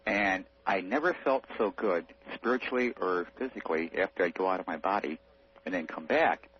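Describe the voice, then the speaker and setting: A man's voice: deep voice, animated, normal speed. A man calling in to a radio show, telling a personal story in an earnest, rambling, casual way. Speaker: radio phone-in caller. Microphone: telephone. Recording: muffled phone line, faint hum and noise.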